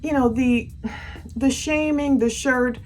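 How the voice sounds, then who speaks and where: A woman with a fairly high voice delivers true crime commentary over faint music. She sounds conversational and casual, dropping in her own opinions and asides as she goes. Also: steady tone, slow pace.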